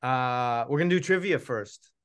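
A man's voice: medium pitch, normal speed, a bit bright, clear, resonant tone. Middle-aged man hosting casually. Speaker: children's author and puppeteer hosting his book launch. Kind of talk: casual joking event chat. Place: room at home, on a video call.

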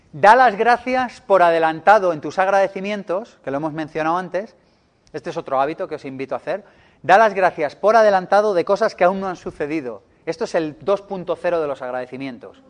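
Spanish man, slightly higher voice, lively seminar speaker, teasing the room. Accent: Spanish from Spain. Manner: playful, joking, chatty. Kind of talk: live seminar talk to an audience.

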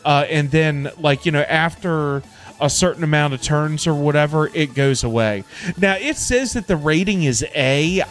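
A middle-aged American man hosting a casual gaming livestream, rambling and repeating himself in loose slang. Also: fairly high pitch. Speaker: livestream host, gamer. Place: indoor home streaming setup. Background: background music.

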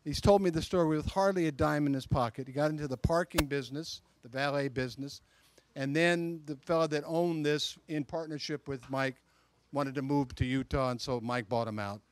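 A man's voice: fairly high pitch, normal speed. A middle-aged man emceeing a club dinner meeting, introducing speakers in a friendly, chatty way on a handheld mic. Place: outdoor restaurant patio at night.

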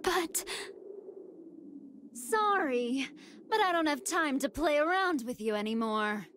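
A woman's voice: high-pitched, expressive, slow, fairly bright tone, a little hiss or hum, full character voice. A woman voicing a smug, sadistic female android villain in an anime game, mocking and taunting. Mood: bored, taunting.

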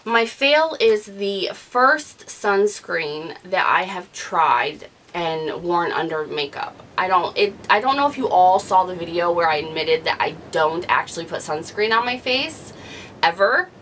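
A woman in her thirties talking casually about her favorite beauty products, gushing and animated, recorded indoors on a studio mic. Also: lowish voice, a little slow, slight background noise, poor audio. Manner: chatty, gushing, animated with hand gestures. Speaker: beauty vlogger. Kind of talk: casual product review talk. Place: living room, gray couch, indoors. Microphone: studio mic off camera.

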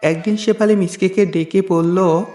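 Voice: mid-range voice, fairly even intonation, a little slow, dark tone. Echoey cartoon fable narrator. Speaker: storyteller, narrator. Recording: roomy echo with music behind.

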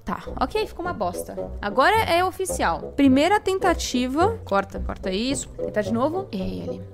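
Brazilian woman, fairly high voice, self-critical voice actress chatting casually between takes, clear music bed. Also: very animated, normal speed. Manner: self-critical, informal, swearing. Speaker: voice actress recording lines. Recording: music behind the voice.

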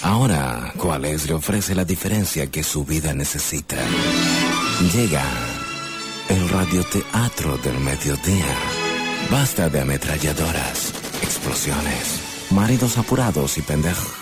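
A man delivers a scripted radio promo. The voice is low and sits over very loud music. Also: animated, a little slow.

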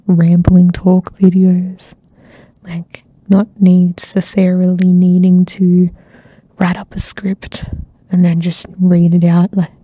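Soft-spoken woman, husky, half-whispering. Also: on the low side, no room sound, low-quality recording. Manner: soft-spoken, slips into whispers, many fillers. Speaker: ASMR channel host. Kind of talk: soft-spoken rambling catch-up chat. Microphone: close ASMR microphone.

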